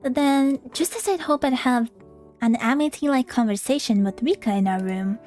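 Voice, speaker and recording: Female game streamer, higher voice, expressive narration mixed with offhand remarks, background music. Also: normal speed. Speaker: streamer reading a visual novel aloud. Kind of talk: expressive read-aloud with casual commentary. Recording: music playing behind the voice.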